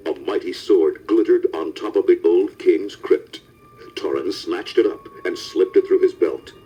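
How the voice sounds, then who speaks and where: A man's voice: low-pitched, unhurried, dark tone, low-quality recording. A man giving scripted narration of a children's storybook as narrator.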